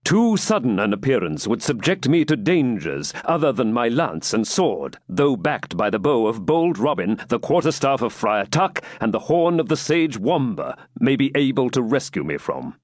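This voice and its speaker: A man's voice: mid-range voice, big pitch swings, moderate pace, hardly any pauses, no room sound, studio quality, voice pushed up. A man voicing a proud, hearty warrior king in a dramatic storybook reading, with grand, commanding, archaic speech. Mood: earnest, commanding.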